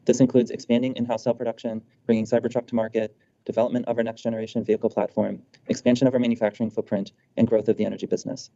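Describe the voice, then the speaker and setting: A man's voice: medium pitch, level tone, rapid-fire, gravelly, dark tone. A male executive fluently and formally reading a scripted statement on an earnings call. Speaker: company executive. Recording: dull muffled conference call audio.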